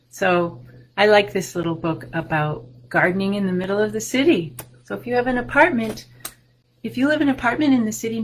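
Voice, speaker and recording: A woman in her sixties, a children's librarian, reading a picture book and showing plants to young children over a webcam from a room at home, in a sing-song voice with excited rises. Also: mid-range voice, gravelly, slight background noise, poor audio. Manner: warm, sing-song and slow, with big excited rises for kids. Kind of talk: storytime reading and show-and-tell for children. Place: home room with bookshelves, indoors. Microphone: webcam video call.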